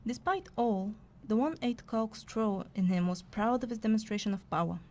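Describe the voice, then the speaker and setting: A woman's voice: on the high side, moderate pace, darkish tone, lightly acted. A woman playing a wry narrator-scribe who trails the hero in a scripted fantasy audio play. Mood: wry narrating.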